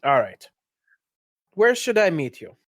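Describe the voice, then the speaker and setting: Man with a slightly high voice, role-playing. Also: very animated, a little slow, no echo, studio quality. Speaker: tabletop role-player and podcast presenter. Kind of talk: casual in-character play and narration.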